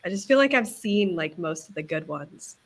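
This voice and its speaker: A woman's voice: on the low side, expressive, moderate pace. A young woman chatting casually with friends in a book club call, rambling with lots of fillers and laughs. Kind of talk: casual group chat on a video call.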